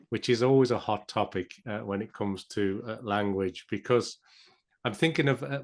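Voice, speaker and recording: A man hosting a podcast interview, chatty and encouraging, often stammering on a word before he restarts. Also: mid-range voice. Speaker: podcast host.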